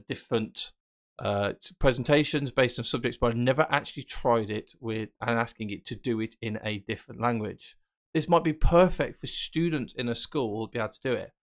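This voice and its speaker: Casual man demoing software on screen. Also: mid-range voice, a little fast. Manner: casual, explanatory, thinking aloud. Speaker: tech presenter. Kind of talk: screen-recorded software tutorial.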